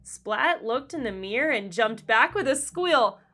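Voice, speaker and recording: A woman reading a children's storybook aloud in an expressive, deliberate storyteller style. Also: on the high side, soft background music. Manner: animated, deliberate storyteller delivery. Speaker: children's read-aloud host. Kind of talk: expressive scripted storybook narration for kids.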